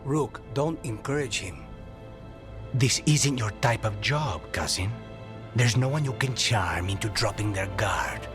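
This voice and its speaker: Brooding game assassin, really low voice, terse and vengeful, faint music behind. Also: male voice, animated, unhurried. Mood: irritated, curt. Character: grim, guarded male assassin bent on revenge. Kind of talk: video game voice acting.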